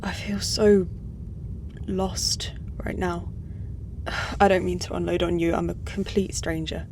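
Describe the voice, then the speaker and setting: A woman's voice: fairly low pitch, flat delivery, slow pace, vocal fry, a little mellow, slight background noise. A woman playing a lost, troubled human navy officer out of her time in a science-fiction audio drama, speaking hesitantly. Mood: lost, downcast. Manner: hesitant, subdued.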